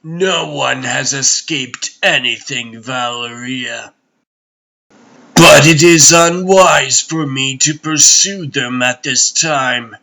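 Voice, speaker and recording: A man playing an imperious, brooding god-emperor and stern father in a comic dub, speaking gravely and with command. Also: medium pitch, takes it slowly, pushed and bright, full character voice. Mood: restrained menace. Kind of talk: dramatic comic dub acting.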